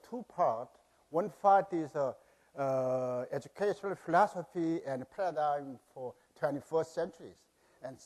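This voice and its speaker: A man with a Korean accent presents to a conference audience through a podium microphone. He is a bit nervous and pushes quickly through his material. His voice sits somewhat high for a man. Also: darkish tone. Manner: says he is nervous, rushes through. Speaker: conference speaker, university president. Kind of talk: presentation talk. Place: large conference hall.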